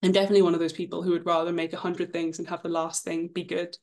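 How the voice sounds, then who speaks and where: A woman's voice: lowish voice, little pitch movement, very fast, darkish tone. A woman in her twenties, from Scotland, casually presenting her path as an illustrator, chatty and self-deprecating, with frequent ums. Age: 20s. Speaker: guest illustrator.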